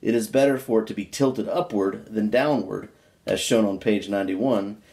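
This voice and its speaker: Low-pitched man, folksy and unhurried. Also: a little mellow. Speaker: pipe-smoking video creator reading a book aloud. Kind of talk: casual chat, then reading a book aloud.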